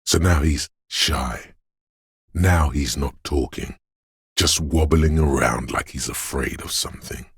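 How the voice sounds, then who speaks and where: A man voicing a menacing, paranoid inner voice of fear and aggression in a video game, gravelly and husky, ominous and deliberate. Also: rumbling low, no room sound, studio quality, exaggerated voice. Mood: dark, menacing. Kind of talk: scripted game voice acting, second-person narration.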